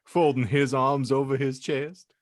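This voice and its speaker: A man's voice: mid-range voice, level tone, unhurried, no room sound. A man hosting a comedy podcast, narrating and bantering in a wry, mock-formal way. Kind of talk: comic audiobook narration with banter.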